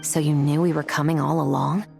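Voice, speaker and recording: A woman's voice: low-pitched, level tone, brisk, creaky, darkish tone. An actress playing a serious, cautious woman police investigator in a video game, speaking formally and in a businesslike way. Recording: music behind the voice.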